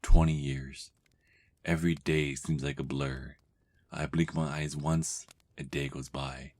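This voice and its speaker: Man voicing a very deep, brooding vampire. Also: flat delivery, takes it slowly, a little mellow, exaggerated voice. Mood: weary, brooding. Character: weary, brooding centuries-old male vampire with a youthful body. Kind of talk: dramatic diary monologue, ASMR audio roleplay.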